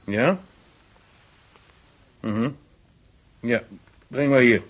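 A man playing a stern police officer in a naturalistic radio drama, husky, with a dry, clipped, insistent way of questioning. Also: medium pitch, extremely slow, slight background noise. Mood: dry, probing.

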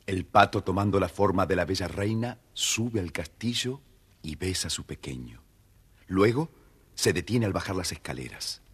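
A man's voice: low voice, expressive, normal speed, fairly bright tone, slightly rough. A man narrating a children's story dramatically, addressing his young listeners directly.

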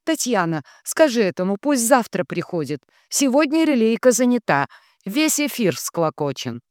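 A woman voicing a bossy, coarse female minder who is brisk, stern and cajoling. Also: fairly high pitch, sing-song, a little mellow, dry recording, studio quality, voice pushed up. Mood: brisk, reassuring.

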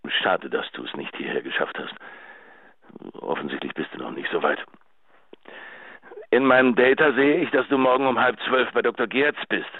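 A man's voice: mid-range voice, lively intonation, normal speed, long pauses, no echo. An actor playing a doting, possessive older man who coaxes and pleads tenderly in a radio drama phone message. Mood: wounded, tender. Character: doting, possessive older man, self-styled old grumbly bear. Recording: dry, narrow answering machine phone line.

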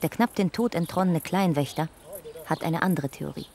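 A woman reading scripted narration in a measured, documentary manner. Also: low voice, a little mellow, slight background noise.

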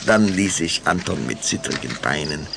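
Deep, gravelly male storyteller, expressive. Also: normal speed, very noisy. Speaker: narrator. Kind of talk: scripted storytelling narration for children's audio drama.

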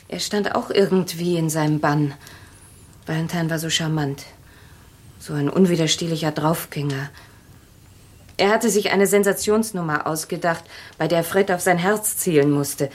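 A guarded widow in a radio crime drama. Her voice is husky and on the low side, and she speaks in measured, wistful phrases with a defensive edge. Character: guarded young widow, former actress and knife-thrower's assistant. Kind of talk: German radio crime play acting.

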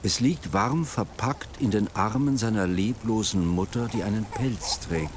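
A man's voice: on the low side, unhurried, a little hiss or hum. A man reading scripted storytelling narration for a radio play. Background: music.

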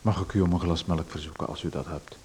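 A man's voice: low-pitched, steady tone, fast talker, darkish tone, slight background noise, natural voice. A man playing a pushy, nosy stranger in a radio play, who makes himself at home and asks for one thing after another. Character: pushy male stranger named Macon, nosy and overbearing.

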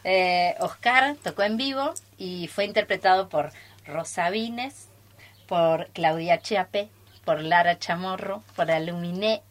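A woman's voice: lowish voice, slow. A chatty woman with an Argentine accent, casually presenting her radio theatre troupe's work in a studio. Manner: chatty, joking.

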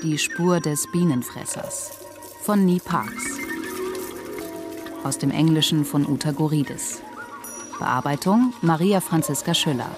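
A woman reading scripted radio drama announcements in a measured, formal manner. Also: sits low, over music.

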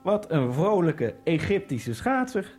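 An actor playing a nervous, wryly ironic Egyptian immigrant, narrating his thoughts in a hushed first-person monologue. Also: fairly high pitch, very animated, slow, faint music behind. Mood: brooding. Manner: hushed, brooding inner monologue.

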